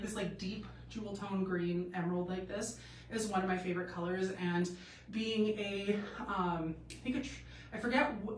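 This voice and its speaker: A woman's voice: medium pitch, a little hiss or hum. A young woman with a cold, sounding stuffed up and a bit nasal, chatting loosely with many fillers as she hosts a clothing try-on live stream from home. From Canada. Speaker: boutique live-stream host. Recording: echoey room, mic at a distance.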